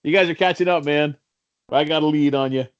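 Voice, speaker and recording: A man's voice: on the high side, steady tone, brisk, clean tone. A man of fifty co-hosting a hobby podcast, talking casually, upbeat and encouraging, laughing often. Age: 50s. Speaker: co-host. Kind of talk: casual chat. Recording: slightly dull audio.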